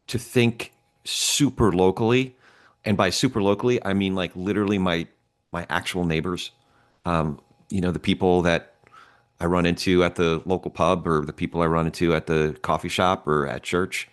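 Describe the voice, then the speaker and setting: A man hosting a podcast roundtable, talking in a relaxed, halting way with frequent fillers and restarts. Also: deep voice. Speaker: podcast host. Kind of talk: casual roundtable discussion.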